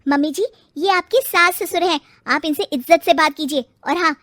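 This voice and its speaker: A woman's voice: very high-pitched, animated, a little fast, dry recording, clean studio sound, voice pushed up. A woman voicing a cheeky, defiant young daughter-in-law who talks back mockingly. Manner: sassy, mocking, fake-crying.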